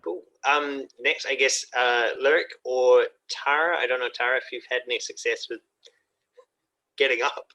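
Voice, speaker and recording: A man hosting a poetry open mic in casual chat, handing over between readers in a halting, hesitant way. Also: mid-range voice, fairly even intonation, bright, forceful tone, low-quality recording.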